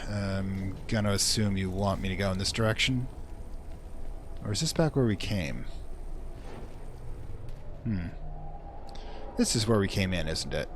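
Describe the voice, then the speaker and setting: A man with a fairly low, very lively voice hosts a video game playthrough in a casual way. He chats wryly and mutters asides to himself, with clear noise and game ambience behind him. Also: measured pace, pauses often. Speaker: video game let's play host. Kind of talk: casual gameplay commentary.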